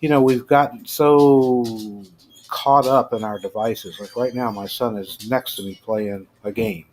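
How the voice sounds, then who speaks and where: A middle-aged American man giving casual, halting news commentary as a host, informal and prone to trailing off. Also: mid-range voice, steady tone, darkish tone. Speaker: host of a personal rant show. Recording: clearly noisy amateur home recording.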